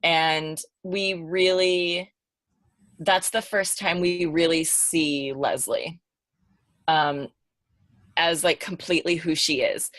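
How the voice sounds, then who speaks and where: Low-voiced woman chatting casually. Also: a bit bright, no echo. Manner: informal, thinking aloud, searching for words. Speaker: podcast panelist. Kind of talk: casual unscripted discussion.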